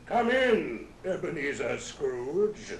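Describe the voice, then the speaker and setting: An actor playing a jolly, hearty giant ghost with theatrical, drawn-out delivery in an old radio drama. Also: male voice, a little high, very animated, vocal fry, slight background noise, exaggerated voice. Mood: jovial, booming. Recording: old record, music behind.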